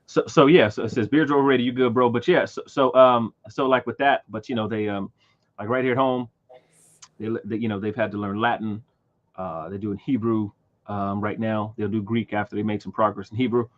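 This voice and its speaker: Host, man in his thirties, lowish pitch, halting and filler-heavy, slightly dull sound. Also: fairly even intonation. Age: 30s. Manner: halting, many repeated words and fillers. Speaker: livestream host. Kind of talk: casual livestream chat.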